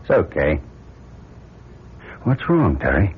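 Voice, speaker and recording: Low-voiced American Navy commander. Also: male voice, sing-song, slow, husky, exaggerated voice. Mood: tender, concerned. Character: firm, protective male Navy commander astronaut. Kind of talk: radio drama acting. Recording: muffled, very noisy old radio recording.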